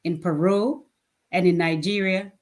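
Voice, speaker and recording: Woman, 50s, lower register, plain-spoken interview guest speaking in short, emphatic statements. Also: steady tone, unhurried, a little mellow. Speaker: guest, children's charity founder. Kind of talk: conversational interview answers.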